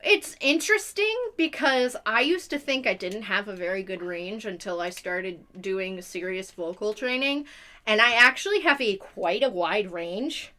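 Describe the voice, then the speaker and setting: A woman's voice: mid-range voice, expressive, measured pace, sharp tone. A young adult woman chatting casually as a live streamer, explaining things in a rambling way. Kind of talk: casual chat.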